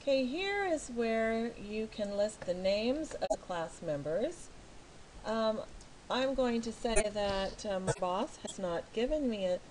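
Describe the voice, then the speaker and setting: A middle-aged American woman from California, a teacher talking slowly and patiently through each step of filling in a form online. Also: medium pitch, darkish tone, a little hiss or hum, poor audio. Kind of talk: screen walkthrough tutorial.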